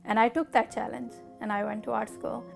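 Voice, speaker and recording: A middle-aged woman with a Pakistani accent, telling her own story in an interview in a measured, deliberate way with pauses. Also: a little high, fairly even intonation, gravelly, over music. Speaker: artist interviewee.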